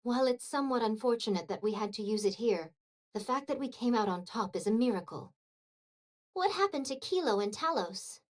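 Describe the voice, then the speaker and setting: A woman playing a loyal, formal and dryly vengeful royal retainer in a video game, with measured, courtly diction. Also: a little high, expressive, lightly acted. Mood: calm, dry.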